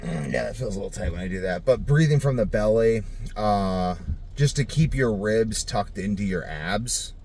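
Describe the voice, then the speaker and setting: A man's voice: fairly low pitch, normal speed, darkish tone. A man talking casually off the cuff for a video diary, thinking aloud in a rambling way. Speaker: vlogger.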